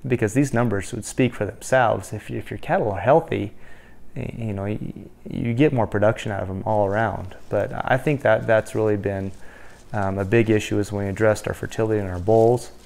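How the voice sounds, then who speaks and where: A man's voice: lowish voice, steady tone, brisk, gravelly, darkish tone. A man in his thirties from Wyoming, a rancher in a documentary interview, talking earnestly with many restarts and fillers. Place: indoor room.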